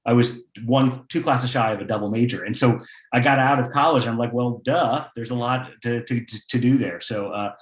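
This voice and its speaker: A man speaking conversationally as a playwright on a panel, in a halting way with restarts. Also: medium pitch. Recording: echoey room sound.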